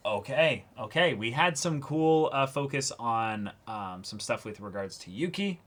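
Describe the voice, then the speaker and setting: A man's voice: medium pitch, expressive, measured pace, fairly bright tone. A man co-hosting a reaction show, casually talking through an anime episode in a conversational way.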